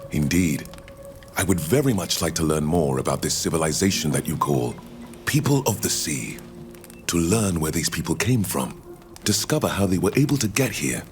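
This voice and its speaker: A very low-pitched, learned gentleman scholar speaks with formal courtesy and careful wording. His intonation moves freely, as he explains things like a well-read visitor from abroad in a fantasy game. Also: sing-song, faint music behind. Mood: scholarly interest. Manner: measured, formal, precise wording. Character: courteous, learned male foreign scholar from the continent. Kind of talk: video game dialogue acting.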